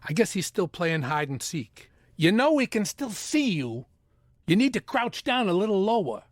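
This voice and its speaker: An exasperated retired mobster acted by a man with a fairly high voice that moves around a lot. He is the sensible one of a pair, scolding wearily and drily in an audio comedy, recorded with no room sound. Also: big pitch swings, normal speed, dry recording. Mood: dry, observant. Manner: weary, scolding, dry. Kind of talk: comedy audio drama acting.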